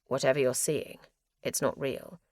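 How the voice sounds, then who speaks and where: A woman voicing a grim young man who talks in low, clipped mutters. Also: steady tone, normal speed, darkish tone, no echo, clean studio sound.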